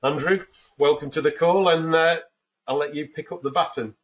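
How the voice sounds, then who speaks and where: A man's voice: fairly high pitch, steady tone, moderate pace, fairly bright tone. Northern English man, dull lo-fi audio. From north of England. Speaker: webinar host. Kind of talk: informal webinar introduction. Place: office.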